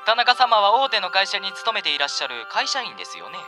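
A man's voice: high-pitched, very animated, fast. Unctuous, swooping, uncanny salesman of favors. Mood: smoothly persuasive. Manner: exaggeratedly polite, theatrical honorific speech. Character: unctuous, uncanny male life contractor offering sinister favors. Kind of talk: Japanese radio drama acting. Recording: music behind the voice.

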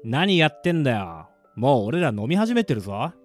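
A man's voice: mid-range voice, very animated, moderate pace, faint music behind, natural voice. A man playing a blunt, laid-back young male drinking buddy in an amateur radio drama. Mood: teasing, exasperated.

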